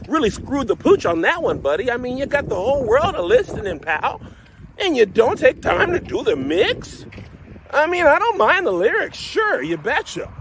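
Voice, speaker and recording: A man with a very high, wildly swooping voice rattles off a folksy, mock-serious critique. The clip sounds like it was taped outdoors, with clear street noise and passing traffic behind him. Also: sing-song, normal speed, a bit bright, poor audio.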